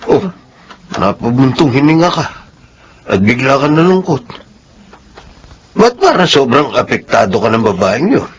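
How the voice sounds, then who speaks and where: An actor with a Filipino accent playing a protective, questioning husband and landlord in a radio drama. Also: male voice, medium pitch, big pitch swings, unhurried, pauses often, slight background noise.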